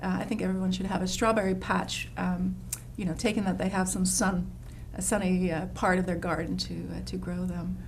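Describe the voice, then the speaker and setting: A woman's voice: lowish voice, little pitch movement, a little fast, few pauses, slight background noise. A woman from the Netherlands, a landscape designer, talking in a casual interview with lots of fillers and restarts. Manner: warm, enthusiastic, many fillers and restarts.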